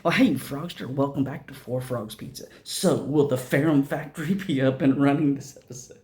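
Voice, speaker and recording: A man's voice: medium pitch, moderate pace, darkish tone, natural voice. A man voicing a friendly male pizza shop owner in a game, greeting cheerily in an echoey room. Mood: cheery.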